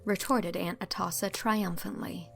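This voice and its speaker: A woman's voice: medium pitch, steady tone, a little slow, gravelly, soft background music. A woman narrator reading a scripted storybook in an audiobook style.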